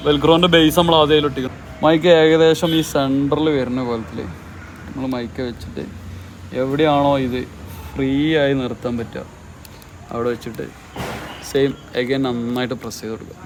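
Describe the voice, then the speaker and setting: A man's voice: medium pitch, fairly even intonation, normal speed, a little hiss or hum, low-quality recording. A man, a bike mechanic, walking step by step through fitting a helmet headset outdoors. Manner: methodical, walks through each step. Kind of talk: step-by-step installation guide.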